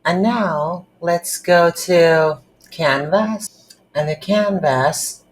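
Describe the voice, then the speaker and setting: A woman hosting a casual game development tutorial, speaking haltingly as she thinks aloud while working. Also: fairly low pitch. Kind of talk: casual screen-recorded software tutorial.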